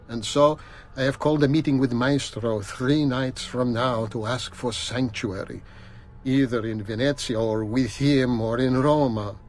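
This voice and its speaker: An actor voicing an anxious conspirator in a video game, reading in a formal, solemn and measured way. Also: male voice, medium pitch, close to an everyday voice.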